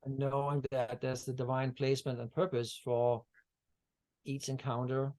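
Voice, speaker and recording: A man's voice: mid-range voice, level tone, moderate pace, a little mellow, poor audio. A man from Denmark, a guest on a video-call interview, talking about energy healing in a meandering way with many fillers and restarts. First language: Danish.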